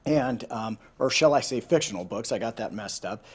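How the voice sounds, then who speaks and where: A man's voice: medium pitch, expressive, moderate pace, slightly rough. A man presenting and reading a children's story aloud as a storyteller, talking informally and directly to listeners. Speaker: volunteer storyteller.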